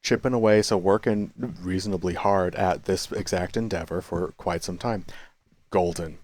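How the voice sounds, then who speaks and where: Low-voiced man musing to himself. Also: fairly even intonation, moderate pace, darkish tone. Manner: thinking aloud, many restarts and self-corrections; quits smoking, chews sunflower seeds. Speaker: vlogger. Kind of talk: rambling reflective monologue. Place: cafe.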